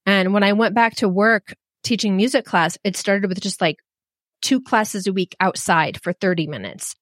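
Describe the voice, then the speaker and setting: A middle-aged woman telling a personal story in a frank, animated and self-deprecating way. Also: mid-range voice, normal speed, no room sound, studio quality. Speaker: storyteller guest.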